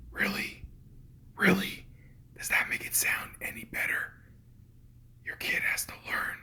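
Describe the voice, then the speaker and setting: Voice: very high voice, bright, forceful tone. A host whispering a comedic parody of ASMR in a sarcastic, irreverent way, swearing freely. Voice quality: whispered throughout.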